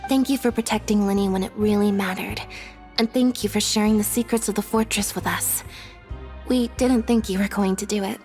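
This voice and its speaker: A woman's voice: mid-range voice, steady tone, brisk, darkish tone, soft background music, natural voice. A woman playing a thoughtful, protective young sister in a video game, speaking formally and sincerely. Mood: sincere, grateful.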